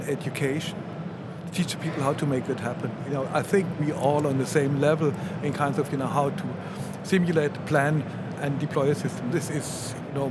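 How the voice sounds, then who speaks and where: A man on a live seminar panel, explaining informally with non-native English phrasing. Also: a little high. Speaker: expert panelist. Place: live trade show seminar. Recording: very loud background noise.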